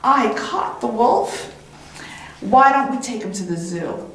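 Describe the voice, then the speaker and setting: Woman as an eager, animated storybook boy. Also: a little high, takes it slowly, far from the mic. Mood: urgent. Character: eager, brave young boy. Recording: very echoey room.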